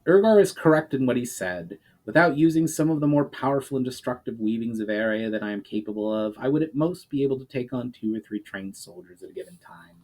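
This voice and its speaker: A man's voice: mid-range voice, lively intonation, quick pace, few pauses, a little mellow, natural voice, low-quality recording. A man voicing an earnest mage in a storybook reading, explaining things in a careful, formal manner. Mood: careful, serious. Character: earnest male mage explaining magical limits.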